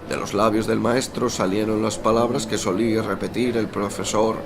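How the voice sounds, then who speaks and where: A man's voice: on the low side, flat delivery, moderate pace, background noise, close to an everyday voice. A man narrating a radio soap in a florid, mock-solemn storytelling manner. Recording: music behind the voice.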